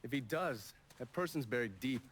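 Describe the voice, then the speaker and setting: An actor playing a world-weary, wisecracking government agent in a video game, with an American accent and a dry, profane delivery. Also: male voice, medium pitch, expressive, moderate pace, fairly bright tone, a little hiss or hum, lightly acted. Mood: briefing, dry. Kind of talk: dramatic video game cutscene acting.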